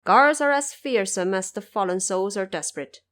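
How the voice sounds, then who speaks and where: A woman's voice: medium pitch, lively intonation, fairly bright tone, natural voice. A woman playing a solemn male judge of the underworld in an audio drama, courteous, formal and commanding. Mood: grave warning. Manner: formal, measured, grave.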